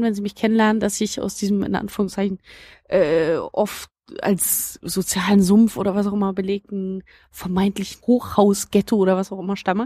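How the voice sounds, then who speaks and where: A woman's voice: medium pitch, darkish tone, dry recording. Woman, podcast host, from Berlin, long winding sentences, dry close sound. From East Berlin, Germany. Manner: reflective, talks in long winding sentences with many asides. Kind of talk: casual podcast discussion. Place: outdoors, open-air recording.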